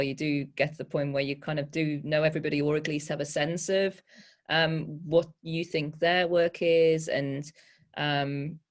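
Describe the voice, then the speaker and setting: Thoughtful poet with a mid-pitched voice. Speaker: poet and writer, panel guest. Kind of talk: thoughtful spoken answers in a panel discussion.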